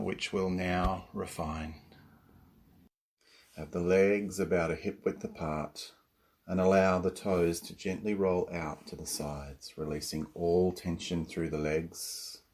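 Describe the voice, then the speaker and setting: A man leading a guided relaxation as a yoga nidra teacher, speaking in a calm, soothing way with long pauses between instructions. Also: deep voice.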